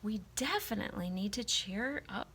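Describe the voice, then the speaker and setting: A woman reading a children's picture book aloud in an expressive, emphatic storytelling style. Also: medium pitch, moderate pace, gravelly, a little hiss or hum.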